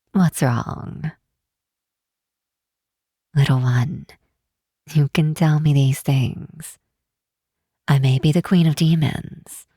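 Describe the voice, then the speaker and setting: Asmr lady playing a regal demon queen mom, low and slow, soft n breathy, tender but commanding. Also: dry recording, clean studio sound, exaggerated voice. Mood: tender, concerned. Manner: measured, formal, tender, unhurried. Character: regal demon queen mother, doting, shrewd, calmly commanding. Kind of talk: ASMR roleplay.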